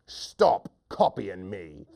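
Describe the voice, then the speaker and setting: A mean lion hunter from a storybook played by a man gives commands emphatically. He is bossy and short-tempered, and his pitch swings widely. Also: animated, slow pace, vocal fry, a little mellow, full character voice. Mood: exasperated.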